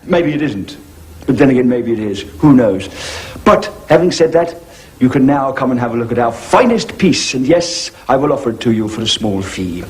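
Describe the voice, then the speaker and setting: A man's voice: mid-range voice, lively intonation, normal speed, natural voice. A man playing a pompous, hesitant dealer who muses aloud about his wares. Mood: musing.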